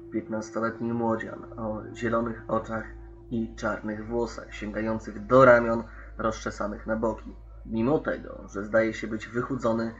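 Flat, low-voiced man reading over music. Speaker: player introducing his character. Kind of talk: scripted character introduction. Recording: slightly dull recording under music.

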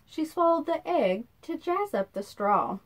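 Animated woman reading a children's storybook. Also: high voice, a little slow. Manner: animated, sing-song rhyming delivery. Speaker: children's read-aloud host. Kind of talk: expressive storybook read-aloud for children. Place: small indoor room.

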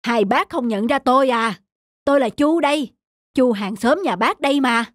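A man's voice: extremely high pitch, normal speed, a bit bright, dry recording, clean studio sound. A newly rich village man from a cartoon folk tale. He talks expansively, genial and pleased with himself, with music under his words. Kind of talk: animated folk tale acting.

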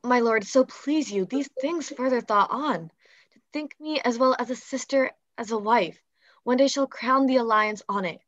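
A woman's voice: a little high, moderate pace, no room sound, close to an everyday voice. A woman playing a dignified noble lady in a Shakespeare comedy read over video call. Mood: gracious. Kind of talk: live table read of Shakespearean verse drama. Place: indoor room, video call. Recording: dull video-call audio.

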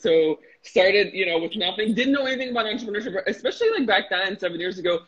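Bright, chatty woman on a lo-fi video call. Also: lowish voice, breathy edge. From former Soviet Union, raised in Chicago. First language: Russian. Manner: animated and talkative, with many fillers and restarts. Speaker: guest, marketing entrepreneur. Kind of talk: casual interview chat. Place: small room with a glass wall, indoors. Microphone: laptop webcam on a video call.

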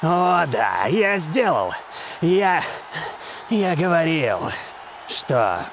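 Cocky cartoon football hero, hot-headed, boastful, slightly high pitch, music underneath. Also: male voice, very animated, very slow, gravelly, heavily acted. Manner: boastful, exclamatory. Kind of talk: cartoon dubbing.

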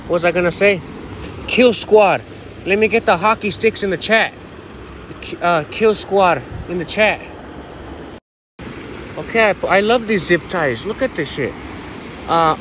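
High-pitched American man rambling outdoors. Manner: slangy, profane, boastful, with repeated catchphrases. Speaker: vlogger. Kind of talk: casual rambling phone vlog. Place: outdoors on a dirt path by a canal. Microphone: phone held at arm's length. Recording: outdoor ambient noise on phone.

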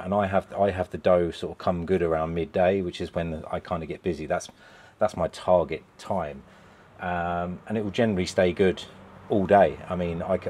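Deep-voiced British man chatting in van. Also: a little mellow. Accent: British English. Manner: chatty, rambling, lots of ums and restarts. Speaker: pizza van owner. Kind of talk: casual vlog walkthrough. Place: inside a metal food van, indoors. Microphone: lapel mic, camera on a shelf.

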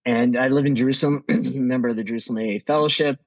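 A man's voice: mid-range voice, fairly even intonation, quick pace, dry recording. A man from New York, a guest speaker telling his recovery story in a conversational, rambling way, full of restarts. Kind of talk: personal recovery story share. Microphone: video call. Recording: dull, dry video call audio.

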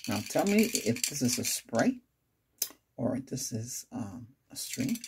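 A middle-aged man doing an ASMR doctor roleplay, giving short questions and instructions to a patient, with loud noise and clicks behind him. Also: mid-range voice, very animated, slow. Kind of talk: ASMR medical exam roleplay.

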